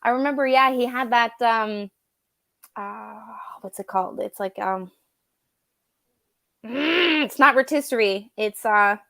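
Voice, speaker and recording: A woman's voice: fairly high pitch, unhurried, gravelly, long pauses, fairly bright tone, no echo. French Canadian woman, dry close voice. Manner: chatty, laughs easily, searches for words. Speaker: co-host of a homestead live stream. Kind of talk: casual live-stream chat.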